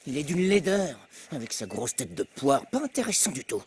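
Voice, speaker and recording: An actor playing a vain, snobbish young male apple in an animated film, pompous, disdainful and easily flustered. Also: medium pitch, moderate pace, a little hiss or hum, natural voice. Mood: smug. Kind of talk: animated film dub acting.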